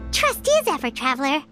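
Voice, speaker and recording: A tiny, anxious fairy sidekick from a video game. The voice is squeaky and childlike, extremely high, and swings up and down excitably. Music plays clearly behind it. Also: female voice, exaggerated voice. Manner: exclamatory, refers to herself by name.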